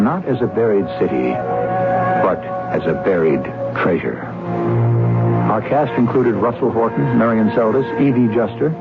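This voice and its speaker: A man hosting a radio mystery show, speaking in a measured, sonorous way. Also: on the low side. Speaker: host. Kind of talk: radio drama host narration. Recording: tinny old radio broadcast with loud music.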